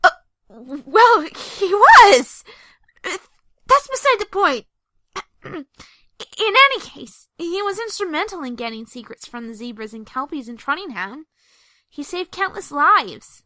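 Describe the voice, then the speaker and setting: An excitable, bookish young mare, acted by a woman talks in a high, bright voice that swoops widely. She is an earnest, easily flustered history buff in an audio drama, recorded close and dry. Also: unhurried, dry recording, exaggerated voice. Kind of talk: animated audio drama acting.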